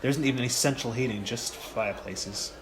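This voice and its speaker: An amateur actor playing an awkward, placating college student son in a radio play, hesitant, plain-spoken and apologetic. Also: male voice, medium pitch, a little fast, background noise, natural voice. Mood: earnest.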